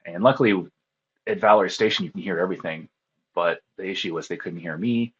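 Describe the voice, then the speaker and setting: A man with a low, husky voice talks casually as an interview guest, recounting his experiences in a conversational way. The sound is slightly muffled and low in fidelity. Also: fairly quick, a bit bright. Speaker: interview guest, ham radio contest operator.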